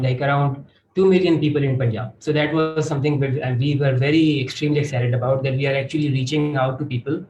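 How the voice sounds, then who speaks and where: Pakistani guy explaining stuff on a panel, slightly high voice, pretty echoey. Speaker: panelist, project grantee. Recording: echoey room, faint background noise.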